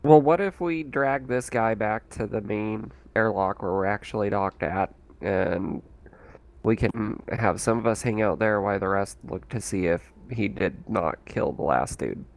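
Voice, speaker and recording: A man's voice: lowish voice, a little slow, gravelly. A man talking casually as a player in a tabletop roleplaying game. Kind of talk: casual chat.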